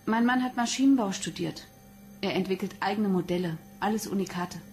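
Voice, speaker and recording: A bitter wife under questioning, played by a woman in a radio crime drama. She is curt and defensive, mid-pitched, over faint music. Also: brisk, darkish tone, lightly acted. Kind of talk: German radio play acting.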